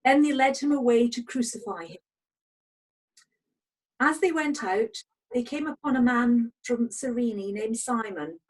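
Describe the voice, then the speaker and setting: A woman narrates a dramatic scripture reading over a video call. Her voice sits on the high side and has a clearly husky grain. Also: unhurried, poor audio. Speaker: narrator in a congregation's Passion reading.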